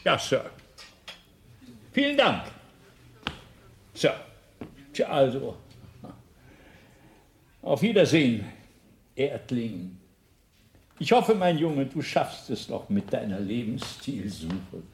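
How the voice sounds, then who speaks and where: An actor playing a proud, flustered old planet designer in a radio play, stammering, deferential and pompous. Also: male voice, mid-range voice, sing-song, slow, pauses often, a little hiss or hum. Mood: resigned.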